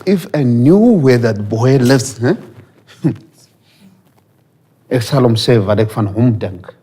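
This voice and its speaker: A man's voice: medium pitch, animated, slow, dark tone, breathy edge. A man acting as a blustering, protective middle-aged father in a TV sitcom, with a Namibian accent and a grave manner. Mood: stern, protective.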